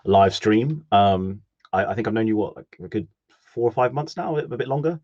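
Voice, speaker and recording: A man's voice: lowish voice, moderate pace, highs cut off. A man hosting a live stream interview, asking rambling friendly questions with lots of fillers.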